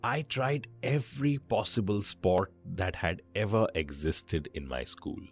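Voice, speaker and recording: A man narrating a scripted bedtime story in short, measured phrases with pauses between them. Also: on the low side, sing-song, faint music behind.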